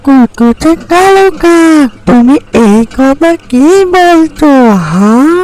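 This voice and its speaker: Woman voicing a high-pitched little cartoon bird. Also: lively intonation, slow, a little mellow, low-quality recording. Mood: indignant. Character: small female tailorbird, indignant yet kindly. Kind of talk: animated fairy-tale cartoon dialogue.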